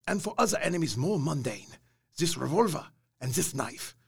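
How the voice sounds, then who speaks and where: An actor playing a grave, commanding older professor who leads vampire hunters in an audio drama, speaking solemnly in deliberate, formal phrases with a husky, gravelly voice. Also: male voice, on the high side, sing-song, heavily acted. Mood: solemn, urgent.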